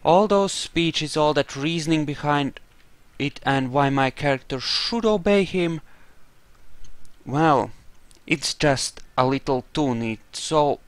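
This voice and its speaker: A man giving casual role-playing game commentary, haltingly thinking aloud. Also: a little high, animated, no room sound. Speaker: gameplay narrator.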